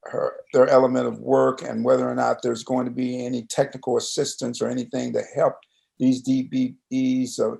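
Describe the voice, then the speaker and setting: A man moderating a conversational panel, rephrasing questions in a circling, halting way. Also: mid-range voice, little pitch movement, gravelly, darkish tone. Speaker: discussion host, moderator.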